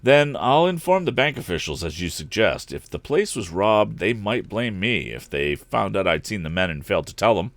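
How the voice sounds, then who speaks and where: Conscientious young chum, voiced by a man, straightforward, conversational, lively intonation, storybook dialogue, dry close sound. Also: lowish voice, moderate pace, hardly any pauses, no room sound. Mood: concerned. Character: conscientious young bank employee, inventor's chum. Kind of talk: audiobook character voice.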